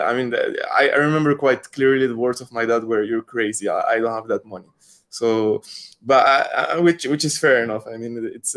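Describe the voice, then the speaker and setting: Hesitant young Moroccan man on a call. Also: mid-range voice. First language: Spanish and Darija. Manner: hesitant, many restarts and fillers. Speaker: panel speaker. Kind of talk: online panel talk. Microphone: video call.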